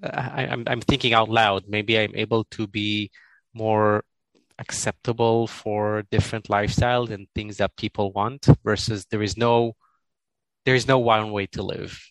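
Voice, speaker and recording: A middle-aged man with an Israeli accent, Hebrew his first language, answering interview questions in a halting, thoughtful way with frequent laughs over a video call. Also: lowish voice, fairly even intonation, a bit bright, dry recording, poor audio. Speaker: guest.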